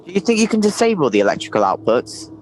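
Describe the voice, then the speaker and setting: Man playing animated, commanding chief. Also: on the high side, quick pace, a little hiss or hum, rough recording. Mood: determined. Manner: commanding, blunt. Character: stern, grudging male chief in command. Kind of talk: audio drama acting.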